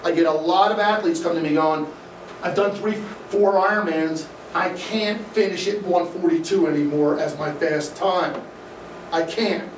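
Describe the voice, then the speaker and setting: A man's voice: high-pitched, unhurried, distant mic. A middle-aged man with a Midwestern accent, a swim coach giving a live talk to an audience in a dim room, talking energetically with fillers. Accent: Midwestern American. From American Midwest. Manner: energetic, gesturing, conversational with fillers. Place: dim indoor event room, in front of a projection screen. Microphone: room camera from the audience, no mic seen. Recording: echoey room, voice from afar, faint noise.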